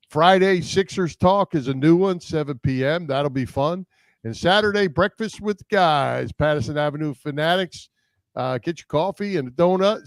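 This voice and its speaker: A man's voice: fairly high pitch, clean tone, no room sound. A man co-hosting a casual sports podcast, chatting informally and sometimes hesitating as he thinks.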